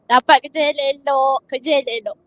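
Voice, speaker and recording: A woman's voice: high voice, steady tone, quick pace, fairly bright tone, dry recording, rough recording. A woman with a Malaysian accent venting in casual chat, slipping in English words. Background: faint game music.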